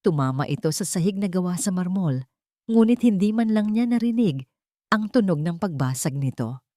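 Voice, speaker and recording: A woman narrates a dramatic story from a script. Her voice is on the low side, with emphatic, suspenseful phrasing. The recording is close and dry, with little room sound. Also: animated, normal speed, dark tone, dry recording.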